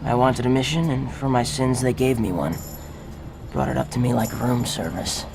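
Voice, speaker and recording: A man playing a weary, brooding army captain, narrating deadpan in a radio drama parody. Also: medium pitch, normal speed, creaky, over music, lightly acted, low-quality recording.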